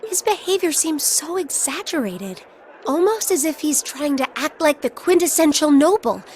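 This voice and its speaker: Game role: courteous schoolgirl-age student, high voice, earnest and thoughtful, faint ambience. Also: animated, moderate pace, darkish tone, a little hiss or hum. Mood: pensive. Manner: polite, earnest. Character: polite, thoughtful young female student. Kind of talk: English-language game voice acting.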